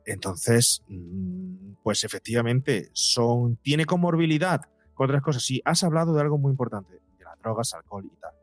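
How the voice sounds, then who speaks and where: A man's voice: medium pitch, animated, normal speed, hardly any pauses, dry recording, soft background music. A man from explains as an interview guest, didactically, posing rhetorical questions and speaking conversationally. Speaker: psychologist and podcaster, interview guest.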